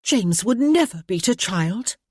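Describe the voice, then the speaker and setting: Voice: mid-range voice, lively intonation, a little slow, darkish tone, slightly rough, no room sound, studio quality, voice pushed up. A man voicing a kind but firm lady who speaks with certainty. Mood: firm, gentle.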